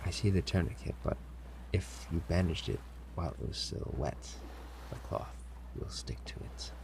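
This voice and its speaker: A man's voice: sits low, lively intonation, creaky. A man playing a stern, menacing pirate captain who grudgingly cares, in a commanding audio roleplay with noisy ambience behind him. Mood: gruff, grudging concern. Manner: slow, commanding, with sighs and feigned indifference. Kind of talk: audio roleplay acting, addressing a captive listener.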